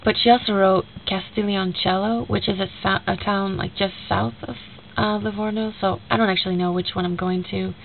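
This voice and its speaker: Young woman, stuffy nasal cold voice, gravelly fry, rambling casual video message, tinny lo-fi sound with faint hiss. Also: mid-range voice, fairly even intonation, a little fast, few pauses. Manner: rambling, many fillers, retells dialogue.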